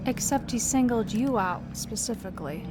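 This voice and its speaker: A woman's voice: on the high side, lively intonation, moderate pace, background noise, close to an everyday voice. A woman playing a tough, sardonic police captain who questions relentlessly in an audio drama. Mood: probing. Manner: sarcastic, probing, unflinching. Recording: music behind the voice.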